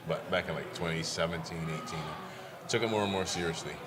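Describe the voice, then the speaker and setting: A man's voice: fast talker, noisy background. A middle-aged man from Barbados, a comedian guest telling stories in casual interview chat. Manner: storytelling, acts out quoted voices in a high pitch.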